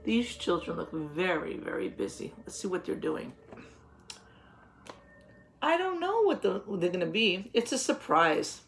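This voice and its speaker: A woman in her thirties, a teacher, reading a picture book aloud to young children and explaining words simply, with gentle questions. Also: on the low side, sing-song, measured pace, creaky, pauses often, a little hiss or hum, poor audio. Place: small home office, indoors.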